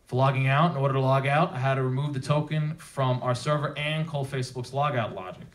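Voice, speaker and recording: A man's voice: mid-range voice, steady tone, brisk. A man presenting a technical talk to a live audience, speaking informally and emphatically with rhetorical questions. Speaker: conference speaker. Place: theater at a developer meetup.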